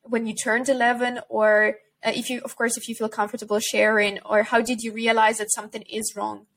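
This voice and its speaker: A woman's voice: on the high side, little pitch movement, brisk, slight background noise. A woman hosting a podcast, asking careful questions and praising her guest. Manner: warm, admiring, careful questioning. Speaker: podcast host. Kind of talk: interview questions.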